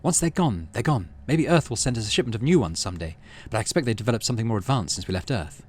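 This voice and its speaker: A man's voice: fairly low pitch, expressive, very fast, slightly rough. Man voicing an earnest, clinical teenage girl scientist. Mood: tense, resigned. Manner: precise, formal, clinical. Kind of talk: reading visual novel dialogue aloud.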